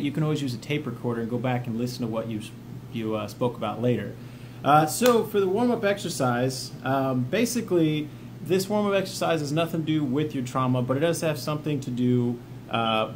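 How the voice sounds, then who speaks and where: A man with a medium-pitched voice hosts an informal instructional vlog. He is plain-spoken and encouraging, moving between reading notes and casual explanation. Clear ambient noise sits behind his voice. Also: fairly quick, darkish tone. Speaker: vlog host, peer mentor for trauma coping. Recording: clearly noisy ambient background.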